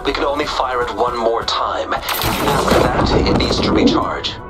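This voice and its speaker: A man playing an earnest, supportive young soldier companion in a video game, giving clear tactical direction. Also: mid-range voice, unhurried, loud music behind the voice, natural voice. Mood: focused, reassuring.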